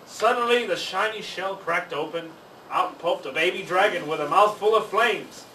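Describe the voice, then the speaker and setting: A man narrates a children's storybook with expression. His voice is high and bright. The recording is roomy, with faint noise. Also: normal speed, recorded from a distance.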